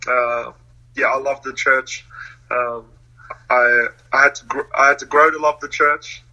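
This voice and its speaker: A man's voice: medium pitch, steady tone, a little slow, pushed and bright, dull audio. A young man from New Zealand, talking casually as an interview guest, laughing often between hesitant fillers.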